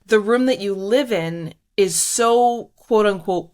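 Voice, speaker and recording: A woman hosting a podcast interview, enthusiastic and quick to laugh. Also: mid-range voice, darkish tone. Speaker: podcast host. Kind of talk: casual interview chat.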